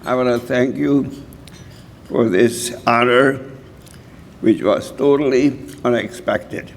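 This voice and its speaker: A man in his nineties from Hungary giving an award acceptance speech, halting and slow, often clearing his throat. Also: mid-range voice, slight background noise. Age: 90s. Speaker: award recipient. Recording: reverberant hall.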